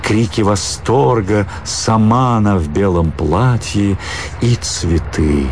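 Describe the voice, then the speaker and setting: A man's voice: fairly low pitch, slow pace, a little mellow, slight background noise. A man narrating a literary story dramatically as part of a radio play. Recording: music bed under the voice.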